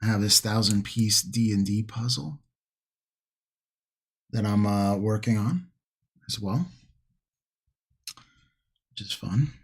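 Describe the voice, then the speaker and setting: Male hobby streamer, slow and husky, casual rambling delivery to an online chat, musing as he works. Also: fairly low pitch. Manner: unhurried, rambling, musing to himself. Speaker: livestream host, miniature painting hobbyist. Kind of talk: casual chat to livestream viewers while painting.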